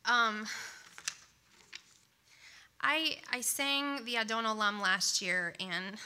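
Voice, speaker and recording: A woman speaking to a congregation before a worship song, chatty and informal, with many asides, English not her first language. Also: medium pitch. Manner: chatty, warm asides, many "um" and "right?" tags. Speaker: worship leader. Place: congregation service.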